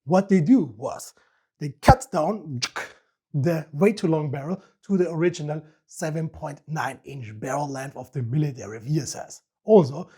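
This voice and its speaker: A man with a fairly high, husky voice and an Austrian accent presents a review. His delivery is playful and self-mocking, like a comic host talking straight to viewers. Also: moderate pace, dark tone. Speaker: host of a firearms review channel. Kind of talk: joking scripted product review.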